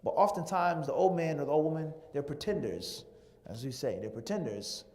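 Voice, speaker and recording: A man delivers a lecture-style sermon in a large Islamic center hall, with a voice sitting a little higher than most men's. He builds his points rhetorically, piling word on word in long lists. The sound carries a long hall reverb. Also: expressive, fairly quick, a little mellow. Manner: rhetorical, piles up lists of words.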